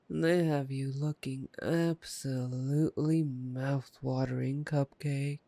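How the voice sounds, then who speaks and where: A woman's voice: deep voice, warm tone, dry recording. A woman playing a taunting, playful, predatory vampire in an ASMR roleplay, with a teasing, mocking drawl. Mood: teasing, gloating. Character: taunting, playful, predatory vampire gloating over a captive hunter. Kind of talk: ASMR roleplay audio drama.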